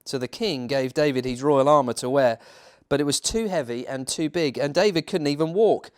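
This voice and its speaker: A man reading a children's bedtime story as a church minister, theatrical, with dramatic pauses and emphasis. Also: a little high, smooth.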